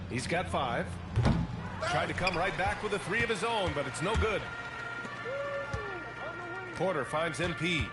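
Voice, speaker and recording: An actor playing a polished TV play-by-play announcer calling a basketball video game. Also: male voice, fairly high pitch, animated, unhurried, pauses often. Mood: focused. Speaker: play-by-play sports commentator. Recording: distant, echoey, loud music over game audio. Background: loud music, bouncing basketball.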